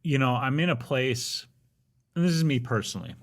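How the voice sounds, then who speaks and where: A man's voice: mid-range voice, animated, moderate pace. A man in his forties in casual podcast chat, candid and wry, often restarting his sentences. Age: 40s. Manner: candid, rambling with frequent restarts, wry humor.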